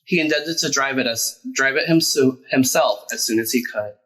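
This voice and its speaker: A man reading a children's picture book aloud as a storyteller, in an expressive, deliberate way with emphasized words. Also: medium pitch, rough recording. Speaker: storyteller, drag performer.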